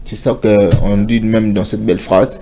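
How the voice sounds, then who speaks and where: A man's voice: lowish voice, little pitch movement, a little slow, gravelly, warm tone. A man co-hosting a morning TV show, giving earnest advice in a casual studio chat and slipping into Lingala.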